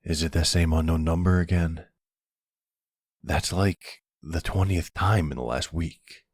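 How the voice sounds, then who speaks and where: A man playing a middle-aged mafia boss and devoted stepfather in an intimate roleplay audio drama, with a husky, gravelly voice and an affectionate, wry way of talking. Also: sits low, fairly even intonation, a little slow, darkish tone, dry recording, clean studio sound. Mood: wry, exasperated.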